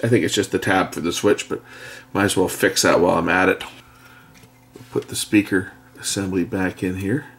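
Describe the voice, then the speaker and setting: A middle-aged man giving a casual hands-on narration as an electronics repair presenter, speaking haltingly as he thinks aloud at an indoor workbench. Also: on the low side, fairly bright tone. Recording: faint background noise, workbench clicks. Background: faint noise, clicks of handled parts.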